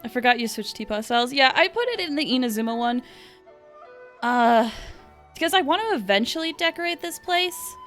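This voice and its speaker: A woman's voice: high-pitched, lively intonation, a little fast, fairly bright tone, soft background music. Playful woman streamer chatting over a game. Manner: playful, with little exclamations and sound words. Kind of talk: casual chat while playing. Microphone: streaming microphone.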